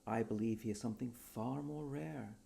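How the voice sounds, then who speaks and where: A man voicing a polite, learned English gentleman writer in a storybook reading, formal and earnest. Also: mid-range voice, moderate pace, gravelly, a little hiss or hum. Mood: patient, formal.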